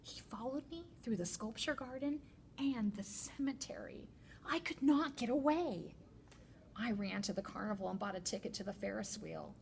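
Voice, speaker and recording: Woman reading to children, slightly gravelly voice. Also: fairly high pitch, a little hiss or hum. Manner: deliberate, expressive storytelling to children. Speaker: teacher librarian. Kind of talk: children's picture book read-aloud.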